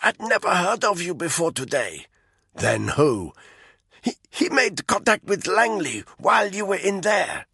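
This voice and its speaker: A terrified female informant croaks out her words through a rope around her throat, as a male narrator puts her on. Her voice is strained and breathless and climbs very high. She sounds pleading and panicked, and her voice is husky and dry. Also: sing-song, unhurried, pushed and bright, dry recording, exaggerated voice. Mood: defensive. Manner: breathless, pleading, rising to cries. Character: frightened female informant, choking under a rope.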